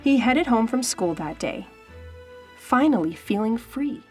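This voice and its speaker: A woman narrating a children's storybook aloud in a dramatic, suspenseful way with deliberate pauses. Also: fairly high pitch, expressive, a little mellow. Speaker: storybook reader. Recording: faint background music. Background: faint music.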